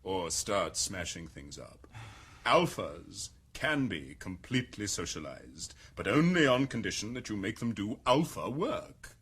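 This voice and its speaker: An actor with a British accent playing an urbane, powerful male world controller who speaks in a measured, wry, condescending way, in a radio drama. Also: fairly low pitch, sing-song, fairly bright tone, breathy edge, exaggerated voice. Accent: British English.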